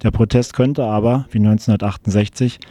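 A man's voice: on the low side, fairly even intonation, fairly quick, dark tone, dry recording. A man reading a scripted news report on the radio.

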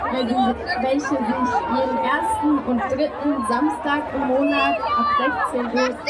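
High-pitched young woman speaking over a loud crowd. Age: young adult. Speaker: youth group leader giving a rally speech. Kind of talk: prepared political speech to a crowd. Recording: loud crowd noise at outdoor rally.

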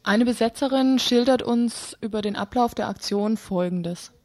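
A woman's voice: fairly high pitch, moderate pace, a little mellow. A woman presenting a news magazine on the radio, reading out scripted reports.

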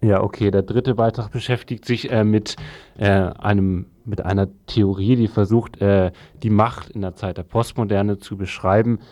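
A man introduces a radio item in a low, very even voice. He is halting and searches for words in an unpolished delivery. The sound is dry. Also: dry recording.

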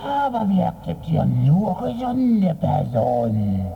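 Very creaky, haughty embassy bureaucrat. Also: male voice, on the high side, sing-song, full character voice. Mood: condescending, officious. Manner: slow, theatrical, condescending. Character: haughty, scolding, moody bureaucrat of the land of the dead. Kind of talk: acted comic-book dialogue in a radio review. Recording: noisy, tinny, phone-like radio sketch.

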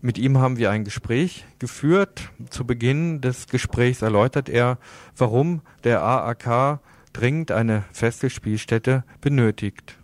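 A man presents scripted radio news at an average male pitch. The sound is dry and close, as in a broadcast studio. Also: unhurried, dry recording.

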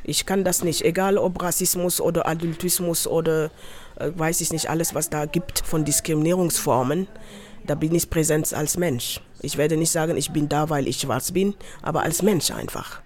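A woman's voice: deep voice, fairly even intonation, moderate pace, a little mellow. A woman working as an adult education trainer, speaking firmly and earnestly about her work in an interview.

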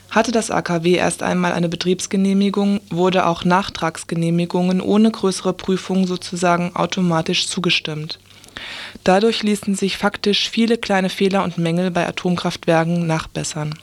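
A woman's voice: lowish voice, dry recording. A woman reading scripted news as a radio presenter.

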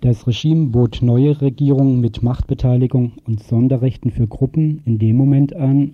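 A man's voice: mid-range voice, slightly rough, no echo. A man reading a scripted radio news report as a reporter. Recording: muffled phone-like narrow band, dry.

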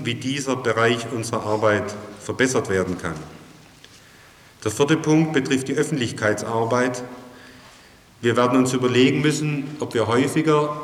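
A man giving a formal address to a meeting, recorded in a reverberant hall. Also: medium pitch. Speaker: activist defence lawyer. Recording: echoey hall, hissy noisy tape.

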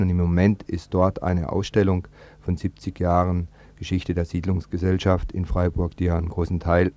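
A man's voice: sits low, a little mellow. A man presenting on radio with spontaneous commentary, often rephrasing as he goes. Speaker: radio host.

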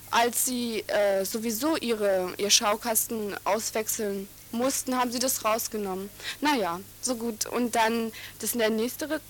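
A teenage girl talking in a radio interview as a student activist, halting with many fillers and restarts. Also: fairly high pitch, fairly bright tone, a little hiss or hum.

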